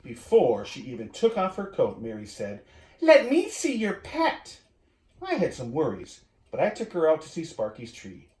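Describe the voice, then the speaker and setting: A man reading a children's storybook aloud in an expressive way, with drawn-out emphasis, as if talking to young listeners. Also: medium pitch. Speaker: community education director reading to children. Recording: roomy echo.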